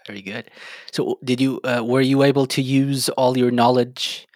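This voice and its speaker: A man's voice: medium pitch, flat delivery, normal speed, darkish tone, no room sound. Male host from Portugal, hesitant questioning style, dry close recording. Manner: hesitant, many "uh" fillers and restarts. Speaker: podcast host. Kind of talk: casual interview chat.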